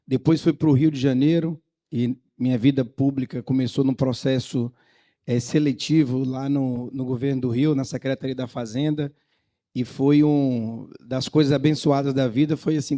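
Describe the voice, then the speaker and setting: Middle-aged Brazilian man, relaxed warm timbre, medium pitch, informal public-sector panelist who checks in with short tags. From Bahia, Brazil. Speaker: government planning secretary, panelist.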